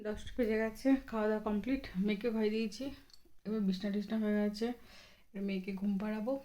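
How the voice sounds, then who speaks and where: A woman's voice: mid-range voice, little pitch movement, fast talker, mellow. A young adult woman, chatting casually and informally as she narrates a home vlog. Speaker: family vlogger, mother of a small child. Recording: noisy home recording with background ambience.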